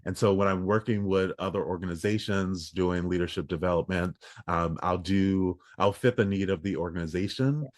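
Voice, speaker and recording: A man, a leadership coach, speaking in an earnest, measured way with frequent ums as a podcast guest. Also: low-pitched, fairly even intonation, dry recording, clean studio sound. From United States. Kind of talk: casual interview talk.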